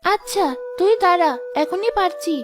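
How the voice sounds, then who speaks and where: Woman acting a very high-pitched, earnest young girl. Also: moderate pace. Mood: hesitant, agreeable. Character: protective, honest village girl, elder sister to small boy. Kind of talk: children's cartoon voice acting. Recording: music behind the voice.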